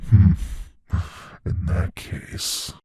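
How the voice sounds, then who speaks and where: An actor voicing a playful, possessive, menacing shape-shifting monster in an intimate audio roleplay, musing slowly in a husky, breathy near-whisper. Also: male voice, warm tone, no room sound, clean studio sound. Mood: curious, pleased. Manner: slow, musing, savoring pauses.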